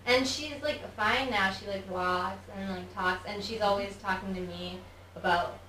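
A woman's voice: medium pitch, measured pace, pushed and bright, echoey room, far from the mic, slight background noise, poor audio. A young woman guest in a casual interview, talking chattily with lots of "like" fillers. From United States. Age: young adult. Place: studio with office chairs.

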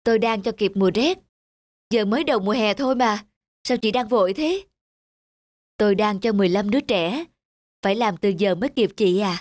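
A woman with a soft, gentle voice, voicing several characters in a cartoon. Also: medium pitch, a little fast, long pauses, no echo. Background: music.

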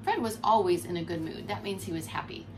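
A woman in her forties reading a storybook aloud to young children as a preschool teacher, expressive and explanatory, recorded indoors at home. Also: fairly low pitch, a little fast. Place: furnished room at home, indoors. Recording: clear background noise.

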